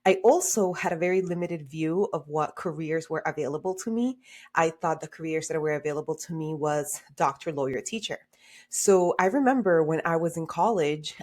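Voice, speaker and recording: A woman in her thirties, originally from Honduras, speaks as a podcast guest and career coach. She talks in an animated, conversational way with frequent "like" fillers. Her voice sits a little low.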